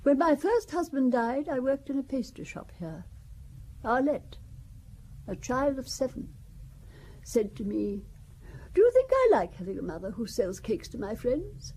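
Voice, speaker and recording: Genteel older widow for radio drama: highish, mellow, slightly husky, confiding and wistful. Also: female voice, big pitch swings, measured pace, pauses often, exaggerated voice, low-quality recording. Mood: reminiscing. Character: genteel ageing French widow, chatty and wistful. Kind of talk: audio drama acting, conversational storytelling.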